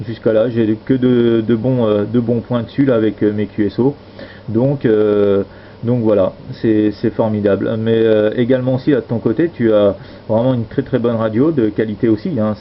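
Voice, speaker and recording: Low-voiced man on amateur radio, dull recording. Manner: hesitant, repetitive, trailing tag words. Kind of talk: casual radio contact chat. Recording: dull lo-fi audio with steady noise.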